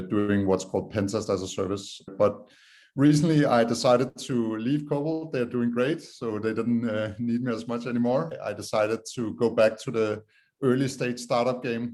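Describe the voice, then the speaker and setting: Low-pitched Danish man in conversation. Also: a little mellow. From Denmark. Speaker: podcast guest, startup co-founder. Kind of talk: conversational interview answers.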